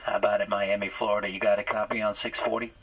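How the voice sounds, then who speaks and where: A man's voice: fairly low pitch, flat delivery, fast. A man giving casual hobby commentary as host of a radio demonstration, with static and radio chatter behind him. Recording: noisy room with radio static.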